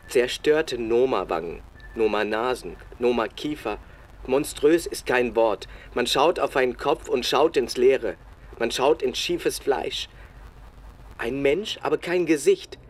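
An actor playing a sober reporter who narrates a radio feature in terse, deliberate sentences. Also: male voice, medium pitch. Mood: sober, bleak. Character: sober male reporter recounting a bleak field search.

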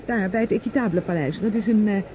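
An old radio play recording, dull with faint noise, of a woman acting a young niece. She sounds polite and earnest, with animated, informative phrasing at a medium pitch. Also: quick pace, natural voice. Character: polite, earnest young niece showing someone around town.

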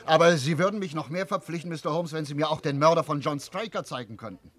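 A man playing an excitable upper-class colonel in a German radio play, blustering and easily astonished. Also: a little high, fast, lightly acted. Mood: contrite, astonished. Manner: blustering, exclamatory, stammering when astonished.

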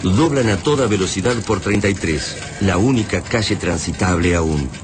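A man playing a grave storyteller, narrating a radio drama in measured, literary Argentine Spanish. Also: low-pitched, lively intonation. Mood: solemn, measured. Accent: Argentine Rioplatense. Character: grave, solemn male storyteller of doom. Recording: noisy sound-effects bed behind narration.

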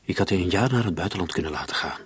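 Deep husky troubled, defensive man. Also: animated, rapid-fire, dry recording, heavily acted. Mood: reproachful. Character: troubled, guilt-ridden man under police suspicion. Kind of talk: radio drama acting.

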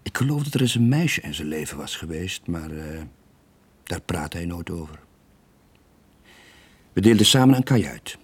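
An actor playing a weary, lonely sailor in his late forties, gentle but evasive, with a husky voice and a subdued, hesitant manner in a radio drama. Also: low voice, animated, normal speed, pauses often. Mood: wistful. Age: late 40s.

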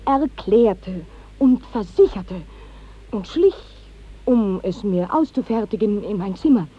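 An actress playing a desperate, impassioned young village maiden in a classic 1950s German radio drama. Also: female voice, medium pitch, expressive, slow pace, mellow, breathy edge, highs cut off. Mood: wounded, indignant.

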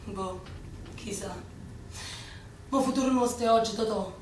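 A woman's voice: medium pitch, a little slow, a little mellow, lightly acted. An actress playing a bold, defiant young Neapolitan woman in a radio drama, teasing and headstrong. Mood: wistful. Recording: echoey, distant, faint noise.

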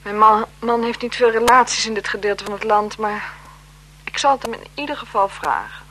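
An actress playing an anxious, guilt-ridden French marquise in a radio drama, speaking formally in halting phrases. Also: female voice, on the high side, pushed and bright. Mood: agitated, apologetic. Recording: 1970s radio recording with noise.

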